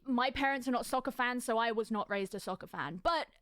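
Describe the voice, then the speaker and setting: A woman narrates an analytical video essay in a smooth, clear voice pitched a little high. She speaks articulately and at a measured pace, with a dry, close sound and only the odd filler. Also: a bit bright, no room sound. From United Kingdom.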